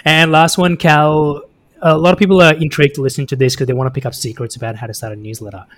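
A man's voice: a little high, fairly even intonation, fast talker, a bit bright. A man hosting a conversational interview in a casual, engaged manner. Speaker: interviewer, podcast host.

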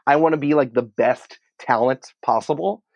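A man's voice: medium pitch, moderate pace, gravelly, a little mellow, dry recording, highs cut off. An American man answering interview questions in an informal, emphatic, conversational way, peppering his speech with "like". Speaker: podcast producer and writer, interview guest.

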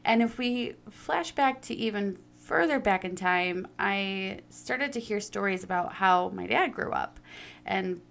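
A young woman talks openly about her own experiences in a voice of middling pitch. She is earnest and emphatic and draws out the words she wants to stress. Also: unhurried, gravelly, a bit bright. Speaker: personal storyteller. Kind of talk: candid personal talk.

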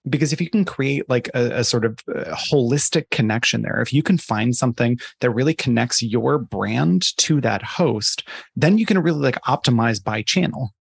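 Man, conversational interviewee, creaky and rough-edged voice, emphatic thinker-aloud, close dry sound. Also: medium pitch, moderate pace, dry recording. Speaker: podcast advertising expert being interviewed.

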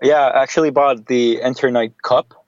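A man's voice: mid-range voice, fairly even intonation, normal speed, no echo. Rambling man with slightly dull audio. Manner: rambling, many fillers and restarts, casual. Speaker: podcast guest. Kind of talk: casual podcast chat.

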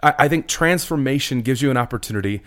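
A middle-aged man, a pastor, talking in a relaxed conversational way, earnest and a little preachy, who keeps asking "right?". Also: medium pitch, fast. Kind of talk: conversational podcast talk.

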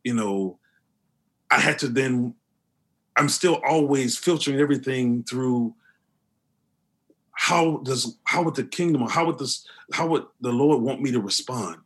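Husky deep-voiced man, earnest. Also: a little slow, pauses often, fairly bright tone. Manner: earnest, with many restarts and fillers. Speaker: guest. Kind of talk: conversational interview.

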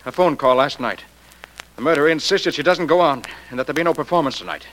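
An actor playing an agitated, pleading fiancé in an old radio drama, urgent and overwrought. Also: male voice, fairly high pitch, animated, quick pace, fairly bright tone, rough recording. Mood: alarmed, pleading.

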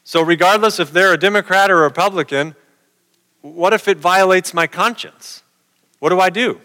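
A man's voice: high voice, normal speed, bright, forceful tone, long reverb tail. A middle-aged man preaching a sermon in a conversational way, posing questions to his listeners.

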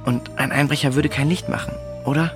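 A performer playing an anxious, self-doubting boy in a children's audio drama, hushed and hesitant. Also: mid-range voice, steady tone, a little fast, music in the background, lightly acted. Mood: nervous.

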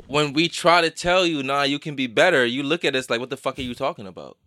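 A man's voice: mid-range voice, fast talker, sharp tone. A man of thirty hosting a casual podcast chat, making his points expressively and swearing freely. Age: 30s. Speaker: podcast host.

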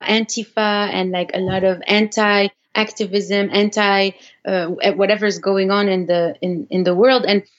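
A woman's voice: medium pitch, little pitch movement, a little slow. Female interview guest, native French speaker, conversational explainer, mid-range voice, muffled lo-fi audio. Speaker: interview guest, activist technologist.

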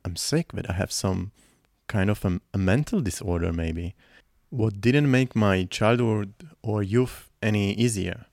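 A young man hosts his own podcast, speaking in an earnest, reflective way about his life. His voice sits low with a gravelly edge. The sound is dry and close, with no room echo. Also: darkish tone. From Israel. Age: 20s. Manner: earnest, reflective, personal storytelling. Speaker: podcast host. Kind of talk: personal podcast monologue.

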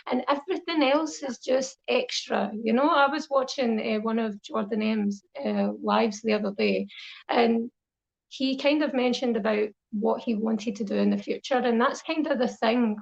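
A Scottish woman speaks as a guest in a casual interview. Her accent is thick and rugged, her voice fairly high but full of creak. The recording is a little dull and lo-fi. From Scotland. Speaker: guest, online content creator and poet.

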